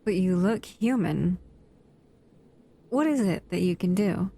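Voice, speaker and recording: Woman voicing a female monster, predatory, condescending, slow-drawling, gravelly. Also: on the low side, animated, dark tone, heavily acted. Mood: explaining. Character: menacing female humanoid monster, bored, predatory. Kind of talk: audio roleplay.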